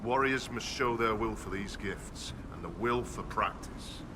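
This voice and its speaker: A man's voice: a little slow, natural voice. Man acting a proud warrior trainer, noisy surroundings. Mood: firm, instructive. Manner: stern, taunting, declarative. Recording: clear ambient rumble behind voice.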